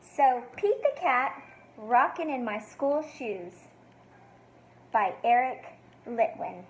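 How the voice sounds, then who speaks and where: A woman reading a picture book to young children like a teacher, expressive and sing-song, with encouraging questions. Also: fairly high pitch, takes it slowly, a little hiss or hum, rough recording. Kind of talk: read-aloud for young children, asking questions.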